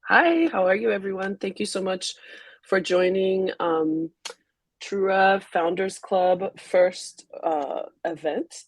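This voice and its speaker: Woman, from Haiti, French first language, magazine founder, webinar interview, slow pace, dry sound. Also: medium pitch, very animated, creaky, no room sound. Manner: soft-spoken, unhurried, with frequent ums. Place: online webinar call.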